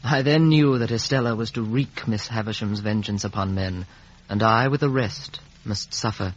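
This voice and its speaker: An actor playing an earnest young gentleman who also narrates, in an old radio drama. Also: lowish voice, normal speed, natural voice. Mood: reflective. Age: young adult. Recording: muffled vintage radio broadcast recording.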